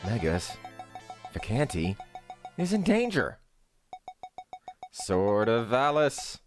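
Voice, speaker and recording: A man's voice: mid-range voice, huge pitch swings, takes it slowly, over music. A man voicing melodramatic anime game characters in a stilted, declamatory manner. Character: theatrical anime game characters reading out cutscene lines.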